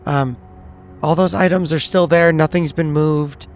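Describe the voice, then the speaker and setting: Man, fairly high voice, tabletop game player, casual in-character talk, faint music behind. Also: fairly even intonation, a little slow.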